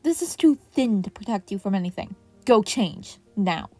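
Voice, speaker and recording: Casting: exacting, commanding veteran male hero teacher for story podcast, sharp delivery woman performs the role. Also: mid-range voice, lively intonation, normal speed, no room sound, soft background music, close to an everyday voice. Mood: seething. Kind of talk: audiobook-style fan fiction character reading.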